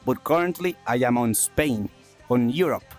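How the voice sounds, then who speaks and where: A man's voice: mid-range voice, animated, normal speed, faint music behind. A man from South America hosting a livestream, chatting casually with viewers, thanking them and repeating himself, while coughing from chest congestion. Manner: upbeat, repeats phrases, thanks viewers warmly. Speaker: streamer and talk show host.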